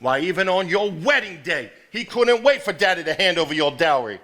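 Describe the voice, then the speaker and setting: A grumbling Southern gentleman played by a man on stage, with a bright, forceful voice on the high side. He drawls his blunt complaints in a theatrical 1950s family drama. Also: very animated, a little fast. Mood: accusing, irritated. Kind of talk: live stage play acting. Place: theater stage before a live audience.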